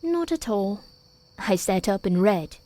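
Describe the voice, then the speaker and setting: Sly, slow-spoken young boy. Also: mid-range voice, very animated, dark tone, no echo. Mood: teasing. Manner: teasing, deliberate, polite. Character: sly, precocious, charming young boy in a ghost story. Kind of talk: gothic audio drama acting.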